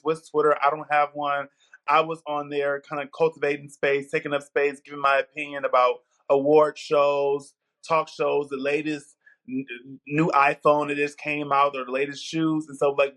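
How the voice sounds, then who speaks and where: A man from the Midwest, in his thirties, telling his story as an interview guest in an outspoken, animated way. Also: a little high. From Milwaukee, Wisconsin. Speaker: guest, TV producer. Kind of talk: casual interview talk.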